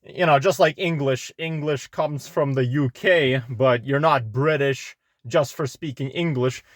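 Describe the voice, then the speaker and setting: Slow, rambling young man. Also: mid-range voice, dry recording, clean studio sound. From Belarus. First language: Russian. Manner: rambling, many fillers and restarts. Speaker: vlogger. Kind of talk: casual talk to camera. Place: inside a car.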